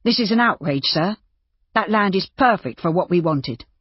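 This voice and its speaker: A narrator voicing a proud, embittered and desperate gentleman doctor in audiobook dialogue. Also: medium pitch, moderate pace, slightly rough, dry recording, dull audio. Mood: indignant. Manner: cross, self-important, despairing.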